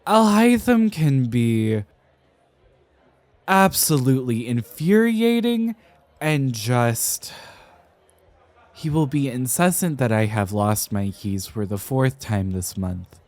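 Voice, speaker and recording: A man playing an anxious, rambling young architect in an ASMR roleplay, with crisply enunciated, theatrical but hesitant speech. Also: mid-range voice, animated, takes it slowly, a little mellow. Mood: exasperated, defensive. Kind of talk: ASMR roleplay, speaking to the listener.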